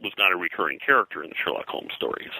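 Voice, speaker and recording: A man with a low, forceful voice talks as a guest historian in an informal interview. He piles up details haltingly and wanders into asides. The tone is very bright and the sound is dry and close. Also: expressive, vocal fry, no room sound, rough recording. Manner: halting, digressive, detail-heavy explaining. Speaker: pop culture historian, guest.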